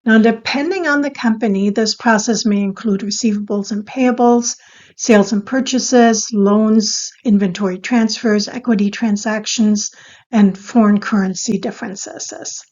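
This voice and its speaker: A woman giving a scripted explainer as an instructor, in a measured, step-by-step teaching manner. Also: mid-range voice, fairly even intonation, darkish tone, lo-fi sound. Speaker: accounting instructor.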